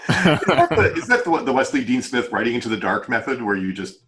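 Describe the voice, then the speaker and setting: A man's voice: medium pitch, very animated, brisk, pushed and bright. A man chatting casually as a podcast host and publisher, earnest and rambling in unscripted talk. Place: remote group video call.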